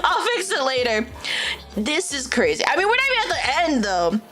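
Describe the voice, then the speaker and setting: Woman, VTuber streamer, casual chat, animated, exclaims and swears, faint music behind. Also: sits high, normal speed. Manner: chatty, exclaims and swears freely, laughs often. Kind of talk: casual livestream chat reading stories aloud.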